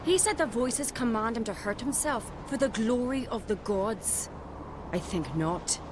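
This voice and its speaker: A woman's voice: a little high, lively intonation, unhurried, background noise. An actress playing an earnest, headstrong young island noblewoman in a fantasy video game, speaking frankly like a storyteller. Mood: earnest, convinced. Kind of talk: video game cutscene acting. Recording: music behind the voice.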